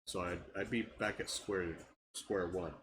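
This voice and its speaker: A young man with a stuffy nose, talking in a casual vlog about his frustrations, halting and restarting his words. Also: low-pitched, fairly even intonation, noisy background. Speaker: channel owner giving an update. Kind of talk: casual personal vlog rant.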